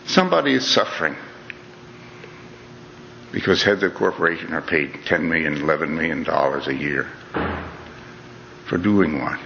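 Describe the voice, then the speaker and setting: A man's voice: very deep voice, animated, moderate pace, creaky, pauses often, bright, forceful tone, a little hiss or hum. A middle-aged man giving an earnest, conversational talk, often saying "you know" and restarting his phrases. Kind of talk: reflective lecture to an audience. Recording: dull lo-fi recording in a reverberant hall.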